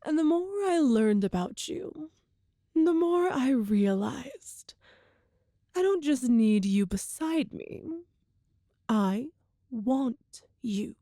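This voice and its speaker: A woman performs an all-powerful evil empress in an intimate ASMR audio drama. Her voice is fairly high and velvety, recorded very dry and close. She speaks in measured, formal phrases, coolly smug and seductive, letting her pauses linger. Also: very animated, warm tone, no echo, heavily acted. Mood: fascinated, seductive. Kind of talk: scripted ASMR roleplay monologue.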